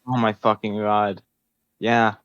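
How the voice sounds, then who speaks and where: A man's voice: on the low side, flat delivery, slow pace, fairly bright tone. A man chatting casually and bluntly as a gaming podcast co-host, slangy and repetitive, over a dull lo-fi connection. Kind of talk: casual chat about video games.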